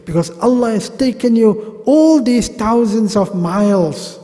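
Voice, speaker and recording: A middle-aged South African man teaching a pilgrimage class at a mosque podium, animated and gesturing, with humorous asides. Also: high-pitched, a little slow. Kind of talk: religious class lecture. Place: large mosque hall. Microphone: gooseneck podium mic. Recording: long reverb of a mosque hall.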